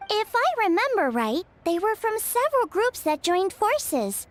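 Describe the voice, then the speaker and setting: A woman voicing an excitable, curious, childlike little fairy companion in an English video game, exclaiming eagerly. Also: extremely high pitch, measured pace, full character voice. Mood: amazed, curious. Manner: exclaiming, eager, speaks of herself in third person.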